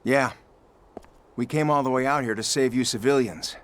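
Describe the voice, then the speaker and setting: An actor playing a cocky, protective hired soldier in a video game cutscene, with a slightly husky voice. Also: male voice, mid-range voice, normal speed, close to an everyday voice. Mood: grim.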